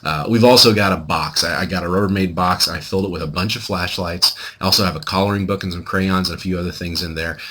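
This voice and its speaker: A man's voice: low voice, fairly even intonation, fast talker, few pauses, sharp tone, husky. A middle-aged American man giving a casual product review in a chatty, self-deprecating, emphatic way. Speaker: home video blogger.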